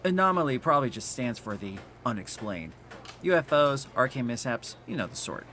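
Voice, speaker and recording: Male assistant cafe manager; breezy, snide, forceful bright tone, background noise. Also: medium pitch, very animated, normal speed. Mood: curious, casual. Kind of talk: audio drama dialogue.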